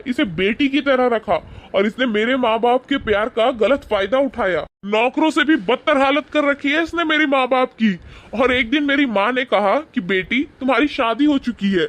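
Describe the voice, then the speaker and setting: Guy doing angry young husband in a cartoon moral story, super high voice, rude n accusing, indignant. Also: a bit bright. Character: angry young husband scolding wife and mother-in-law. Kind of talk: animated moral-story dialogue.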